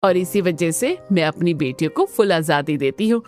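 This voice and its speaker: A woman's voice: lowish voice, animated, moderate pace, darkish tone, soft background music, close to an everyday voice. A woman voicing kind, caring women of a family in a Hindi cartoon, speaking emphatically and expressively. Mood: proud, warm. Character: kind, indulgent mother and her caring, chirpy daughters.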